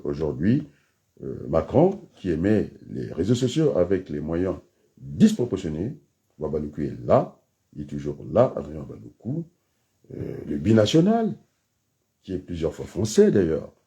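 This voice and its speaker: A man giving a rambling political monologue, hesitant with many fillers, then bursting into emphatic high outbursts. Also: sing-song, a little slow, warm tone. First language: French. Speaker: political commentator.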